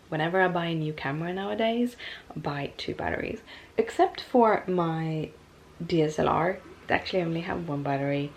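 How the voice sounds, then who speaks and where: A woman's voice: lowish voice, creaky, slight background noise. A woman vlogging casually to her camera, stuffy and losing her voice, rambling with many ums and restarts. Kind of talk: casual talking-to-camera vlog.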